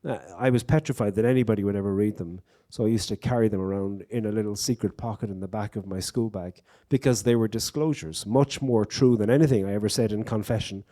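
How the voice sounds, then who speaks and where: A man's voice: lowish voice, fast, hardly any pauses, mellow. An Irish man, a poet, telling wry stories and reflecting in a live on-stage conversation at a bookstore event. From Ireland. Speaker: poet and guest speaker.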